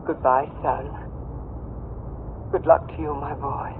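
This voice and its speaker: A woman playing an anxious, doting older mother with a halting, pleading delivery in 1940s radio drama. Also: sits low, flat delivery. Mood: anxious, tender. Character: anxious, doting older mother fretting over her grown son. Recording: tinny vintage radio recording, faint noise.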